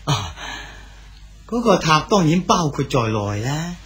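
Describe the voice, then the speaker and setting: An actor with a Cantonese accent playing an aging exiled male poet, gentle and fatherly in a radio drama. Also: on the high side, sing-song, slow pace, husky, faint music behind, full character voice. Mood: calm. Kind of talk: 1980s Hong Kong radio drama acting.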